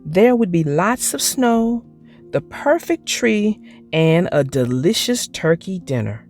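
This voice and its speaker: Slow, animated woman reading a storybook. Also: fairly low pitch. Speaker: children's book narrator. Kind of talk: scripted storybook narration for children. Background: music.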